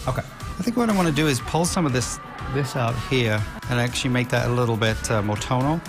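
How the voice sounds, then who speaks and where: Man, 40s, mid-pitched, casual hair stylist explaining to a client, loud music behind. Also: fast. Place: indoor hair salon.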